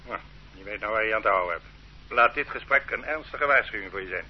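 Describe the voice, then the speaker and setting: An actor playing a stern state interrogator who bullies and threatens a suspect in a radio play, in a dull old recording with faint hum. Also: male voice, lowish voice, lively intonation, fast, lightly acted. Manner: bullying, condescending. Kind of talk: radio drama acting.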